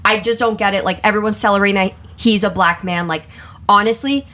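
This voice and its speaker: A woman's voice: mid-range voice, brisk. A young American woman co-hosting a casual podcast, telling stories candidly and acting out quotes as she goes. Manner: candid, animated storytelling with quoted asides and heavy swearing.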